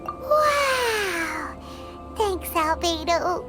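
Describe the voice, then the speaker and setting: Tiny excitable game sidekick: extremely high squeaky voice, swooping melodrama, slow drawn-out delivery, music underneath. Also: female voice, exaggerated voice. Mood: delighted. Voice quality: squeaky, childlike. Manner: refers to self in third person, theatrical. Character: tiny excitable childlike female floating companion, indignant and dramatic. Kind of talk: video game character acting.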